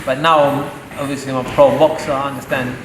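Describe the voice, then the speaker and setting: A man's voice: mid-range voice, flat delivery, brisk. A young man, a professional boxer born in Saudi Arabia, speaks earnestly and proudly in a pre-fight interview, with the odd joke. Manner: earnest and proud, joking now and then. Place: boxing gym. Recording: echoey gym with loud ambient noise.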